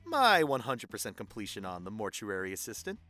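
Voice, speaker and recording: Woman playing bragging gamer pal, bright timbre, animated pitch, dry teasing, audio drama. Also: fairly quick, faint music behind, exaggerated voice. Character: bragging, snarky video-gamer friend at a party.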